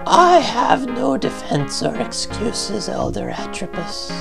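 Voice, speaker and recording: Man doing a high, creaky, defiant older woman. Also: huge pitch swings, music in the background, heavily acted. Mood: calm defiance. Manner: formal, measured, unapologetic. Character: defiant older female caretaker accused before elders.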